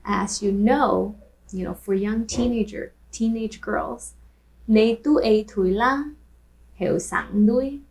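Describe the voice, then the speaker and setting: A woman who grew up speaking Taishanese, hosting a language lesson where she repeats phrases slowly and translates them. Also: medium pitch, gravelly, a little mellow, low-quality recording. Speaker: language teacher and host. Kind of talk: language lesson with film clips.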